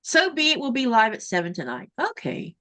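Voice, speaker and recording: A woman's voice: on the high side, huge pitch swings, a little fast, a bit bright. A woman from Florida co-hosting a casual sewing livestream over a video call, chatting and reading out viewer comments. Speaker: livestream co-host. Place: home in Greenland.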